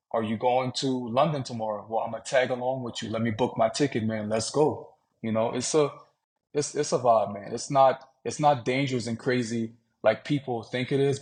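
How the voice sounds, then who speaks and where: Easygoing man from Louisiana, laid-back talk. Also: mid-range voice. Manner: relaxed, conversational, fillers like "man" and "you know". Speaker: podcast guest, traveler. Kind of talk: casual interview talk.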